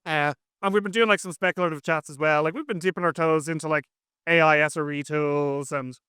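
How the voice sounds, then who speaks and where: A man's voice: on the high side, fairly even intonation, a little fast, sharp tone, clean tone, dry recording, studio quality. A man chatting casually as an interview guest, talking in a rambling, slangy way. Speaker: interview guest, software engineer.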